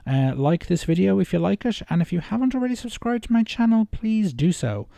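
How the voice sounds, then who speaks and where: A man's voice: high-pitched, big pitch swings, a little fast, creaky, mellow, no room sound. A man giving casual, unscripted video game commentary as a reaction video host, hesitant and rambling, often circling back to rephrase.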